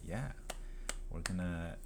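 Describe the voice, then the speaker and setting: A man's voice: sits low, slow pace, background noise. A man in his twenties from Los Angeles, co-hosting a casual podcast, rambling and laughing at himself. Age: 20s. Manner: rambling, self-interrupting, laughs often. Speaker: podcast co-host. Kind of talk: casual chat.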